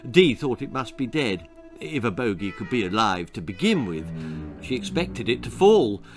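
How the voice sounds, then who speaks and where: A man's voice: mid-range voice, sing-song, moderate pace, over music. A man reading a scripted children's story as its narrator, in a theatrical, suspenseful and emphatic manner. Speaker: author reading his own children's story.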